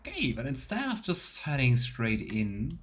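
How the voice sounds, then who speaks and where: A man's voice: medium pitch, huge pitch swings, unhurried, a bit bright, a little hiss or hum. A man doing a casual voiced let's play, musing and thinking aloud as he comments. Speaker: streamer, let's player. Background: faint game music.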